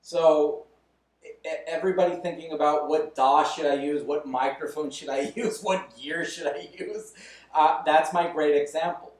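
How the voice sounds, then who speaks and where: A man's voice: a little high, steady tone, normal speed, darkish tone. Easygoing man in his thirties, distant mic. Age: 30s. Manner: loose, candid, chuckling between thoughts. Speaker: podcast guest. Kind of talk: casual interview chat. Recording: roomy echo, mic at a distance.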